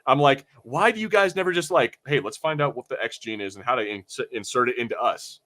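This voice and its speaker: A man co-hosting a podcast, talking in a casual, slangy, animated way with some swearing. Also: mid-range voice, brisk. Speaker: podcast host discussing a show. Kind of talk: casual group discussion with swearing.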